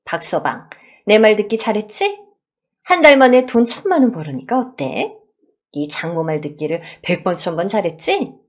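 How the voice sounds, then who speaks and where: A woman's voice: mid-range voice, big pitch swings, moderate pace, lightly acted. A woman voicing a greedy, bossy middle-aged mother-in-law in a radio-style story, nagging and condescending. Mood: boastful.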